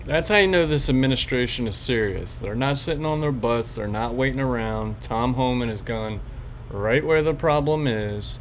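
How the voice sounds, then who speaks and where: Male podcast host, medium pitch, informal halting commentary, noisy backdrop. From Florida resident of Puerto Rican background. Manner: informal, slangy, halting with pauses. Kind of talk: casual political commentary.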